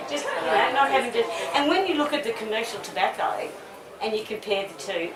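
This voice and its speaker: A blunt, rambling middle-aged Australian woman talks casually to a festival audience in a somewhat high voice. She is picked up from a distance in an echoey room, with the crowd audible around her. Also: animated, moderate pace. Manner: chatty, rambling, blunt, with swearing and laughs. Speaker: medical cannabis advocate giving a festival talk. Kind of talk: informal public talk with audience questions. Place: festival talk in front of an audience.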